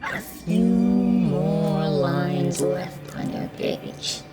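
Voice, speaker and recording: A decaying hooded crow creature in a tabletop fantasy game, voiced by the game master. It speaks extremely slowly, with eerie, taunting menace. Loud music plays behind it. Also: mid-range voice, expressive. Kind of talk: game master acting a character.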